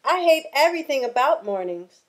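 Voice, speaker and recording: A woman's voice: high-pitched, very animated, a little fast, pitched above the performer's own voice. A woman voicing a grumpy male lizard in a storybook, angry and complaining. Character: grumpy male lizard who hates mornings.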